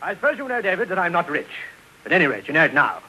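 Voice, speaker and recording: Cold British stepfather, pompous and brisk, with sweeping emphasis, for old radio drama. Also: high-pitched, very animated, sharp tone, a little hiss or hum, exaggerated voice, low-quality recording. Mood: stern, cold. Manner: pompous, clipped, severe. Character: cold, stern, self-important Victorian stepfather. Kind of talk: 1950s radio drama acting. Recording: old 1950s radio broadcast.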